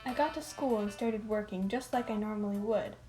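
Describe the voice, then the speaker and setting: A woman playing a patient but firm, sometimes frustrated schoolteacher in a radio play, speaking in a measured, polite way. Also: medium pitch, warm tone, faint music behind, close to an everyday voice, poor audio. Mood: puzzled, polite. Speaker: actor and narrator in a radio play. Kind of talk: first-person radio drama narration and dialogue.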